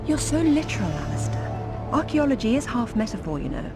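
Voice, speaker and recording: A woman voicing a composed archaeologist-adventurer who speaks in a calm, dry, lightly teasing way. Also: on the high side, brisk, loud music behind the voice.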